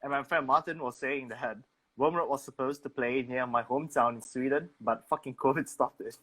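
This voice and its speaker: A man's voice: mid-range voice, a little fast, pushed and bright, rough recording. A man hosting a casual interview, rambling through long questions full of "like". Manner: rambling, many "like" fillers. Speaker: host.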